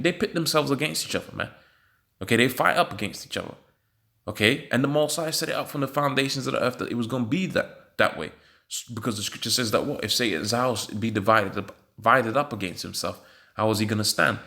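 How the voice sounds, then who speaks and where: A British man, sniffly from hay fever, commenting on a news article with a religious slant, halting with many fillers and emphatic rhetorical questions. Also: on the low side, a bit bright.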